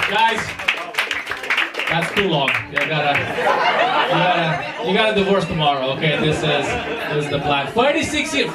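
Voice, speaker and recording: A man in his thirties doing stand-up crowd work on a small club stage, teasing the audience into a handheld mic. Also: high-pitched. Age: 30s. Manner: teasing, animated banter with audience. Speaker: stand-up comedian. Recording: echoey club recorded from far off with crowd noise. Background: audience laughter.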